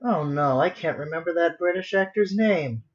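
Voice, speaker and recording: A man's voice: fairly high pitch, normal speed, highs cut off. A man chatting casually on a drawing livestream, rambling to himself in a playfully dramatic way. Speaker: streamer, digital artist.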